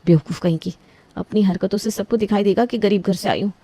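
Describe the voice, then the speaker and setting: A woman voicing a scheming young bride in an animated story, muttering to herself in a panic and flaring into outrage. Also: mid-range voice, dry recording, pitched below the performer's own voice, close to an everyday voice. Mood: flustered. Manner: frantic self-talk, quick to outrage. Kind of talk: animated cartoon voice acting.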